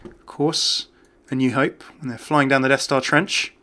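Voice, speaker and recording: A man hosts a gaming video with casual, chatty commentary. His mid-pitched voice has a jokey, conversational delivery. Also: fairly even intonation, unhurried, bright, forceful tone.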